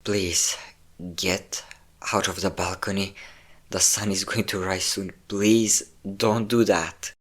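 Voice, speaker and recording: A man's voice: deep voice, flat delivery, slow, fairly bright tone, exaggerated voice. A man playing a possessive, obsessive vampire lover in an intimate, dramatic roleplay audio drama. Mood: desperate pleading.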